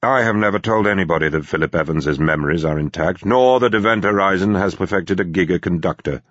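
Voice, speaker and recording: A man voicing a sober corporate security chief in an audiobook, measured, formal and matter-of-fact. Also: low-pitched, fairly bright tone, dry recording, lo-fi sound.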